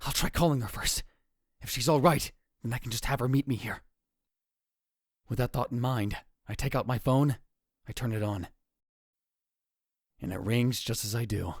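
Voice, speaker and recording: A man's voice: medium pitch, normal speed, long pauses, breathy edge, dry recording, clean studio sound. A man playing a dramatic, paranoid young self-styled mad scientist in a visual novel fan dub, theatrical, brooding and self-important. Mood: brooding, suspicious. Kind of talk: visual novel fan dub, first-person narration and dialogue.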